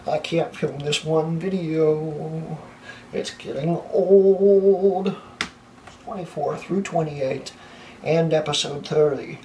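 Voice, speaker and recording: Young male tech vlogger, a bit high-pitched, hoarse, flustered, recorded from a distance in a small computer room with a light hiss. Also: darkish tone. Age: young adult. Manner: flustered, laughing at his own slip-ups. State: losing his voice, coughing. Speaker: tech channel host. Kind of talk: blooper reel of a tech vlog. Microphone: camera mic from a few feet away. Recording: distant camera mic, faint room hiss.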